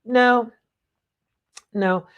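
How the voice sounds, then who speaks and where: A co-host in a casual, opinionated chat, speaking bluntly and haltingly, often checking in mid-thought and swearing casually. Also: medium pitch, fairly even intonation, clear, resonant tone, dull audio. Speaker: talk show co-host.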